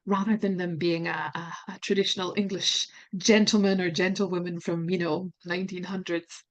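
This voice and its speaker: A woman's voice: fairly low pitch, level tone, normal speed, lo-fi sound. A Scottish woman answering interview questions as a pronunciation specialist, candid and earnest, laughing at herself now and then, on an online call. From Scotland.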